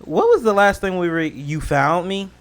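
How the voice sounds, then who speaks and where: A man's voice: high voice, animated, normal speed. A man doing casual reaction commentary as a host, slangy and joking, drifting into rambling asides.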